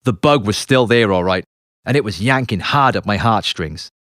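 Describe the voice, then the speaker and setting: A man reading his own memoir as an audiobook, in an expressive, confessional storytelling manner with dramatic pauses. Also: on the low side, dry recording, studio quality. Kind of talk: scripted memoir narration. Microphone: close, dry studio narration mic.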